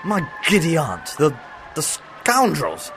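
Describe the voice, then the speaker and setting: A man playing an eccentric time-travelling doctor, brisk and exclamatory, in a fan audio drama. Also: on the high side, wildly swooping pitch, music in the background, exaggerated voice.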